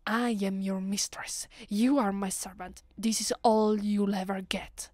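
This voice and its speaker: A woman with an Italian accent playing a haughty, centuries-old vampire mistress in an ASMR roleplay, breathy and often near a whisper, imperious and dramatic. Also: medium pitch, fairly even intonation, measured pace, darkish tone, no echo, studio quality, close to an everyday voice. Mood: agitated, commanding. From Italy.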